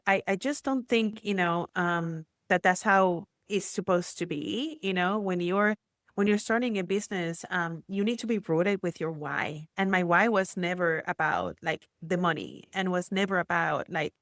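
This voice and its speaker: Puerto Rican woman, entrepreneur guest, chatty and animated, mid pitch, dry close sound. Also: moderate pace, no room sound. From Puerto Rico. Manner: chatty, animated storytelling with many fillers. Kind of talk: casual interview chat.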